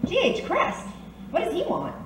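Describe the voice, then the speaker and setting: Actress as brave, resigned detective-serial heroine, wry stoicism, distant roomy recording with faint noise. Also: female voice, extremely high pitch, wildly swooping pitch, moderate pace, heavily acted. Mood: wistful, anxious. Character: plucky woman on trial, resigned yet brave. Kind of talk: audio drama acting in a detective serial.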